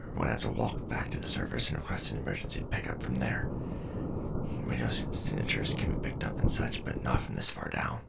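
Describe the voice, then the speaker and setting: A man performing a war-weary robot soldier for an ASMR roleplay drama, gruff and loyal. The voice is rock-bottom low and heavily creaky, with big swings in intonation, over loud background ambience. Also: sing-song, fairly quick, very noisy, exaggerated voice. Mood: concerned. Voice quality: very deep, gravelly.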